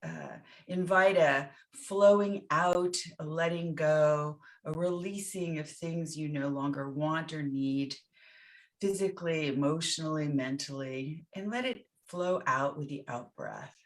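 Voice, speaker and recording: A woman with a low voice guides a meditation at a very slow pace. Her delivery is gentle and soothing, with short phrases set apart by pauses, as a teacher leading listeners through each step. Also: lively intonation.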